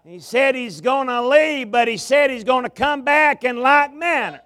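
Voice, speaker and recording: A man with a Southern US accent preaching a revival sermon in a folksy, drawn-out cadence, with some gravel in his voice, speaking into a pulpit mic in a large tabernacle. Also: very high-pitched, sharp tone, no room sound. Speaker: pastor, guest preacher. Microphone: amplified mic at a pulpit.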